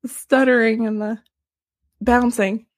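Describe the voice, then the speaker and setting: A woman with a Southern US accent co-hosting a casual podcast, animated and quick to laugh. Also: on the high side. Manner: giggly, animated, laughs often. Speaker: podcast co-host. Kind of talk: casual podcast chat, livestreamed.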